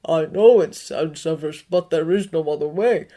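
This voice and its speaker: A grave old headmaster, speaking slowly and evenly with quiet firmness, as a woman narrator puts him on. The voice stays close to the reader's own low pitch, with a changed, softened tone. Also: lightly acted. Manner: measured, gently firm.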